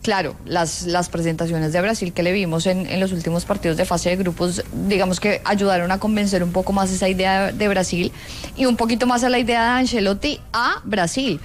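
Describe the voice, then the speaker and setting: Colombian female sports journalist for panel talk, medium pitch, faint background noise. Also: fairly even intonation, a little fast. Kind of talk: unscripted sports discussion.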